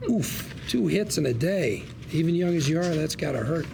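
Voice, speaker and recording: A man playing a cocky, fast-talking scheming lawyer in a TV drama table read, with slick, wordy patter. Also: on the high side, animated, creaky, noisy background. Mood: persuasive, cocky.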